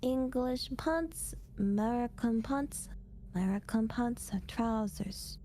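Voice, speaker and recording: Woman as a little girl ghost, high-pitched, extremely slow, halting repeated phrases, playful, ASMR roleplay, background noise. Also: dark tone. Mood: confused, playful. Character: playful, childlike hungry little female ghost.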